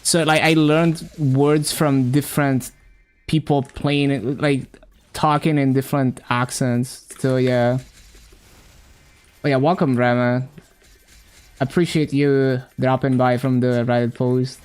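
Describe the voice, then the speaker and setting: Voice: medium pitch, level tone, slow, darkish tone, clean tone, faint music behind. A streamer from Italy who learned English as a second language, chatting casually on a live gaming stream with a mixed, self-taught accent, rambling and restarting with lots of "like". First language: Italian.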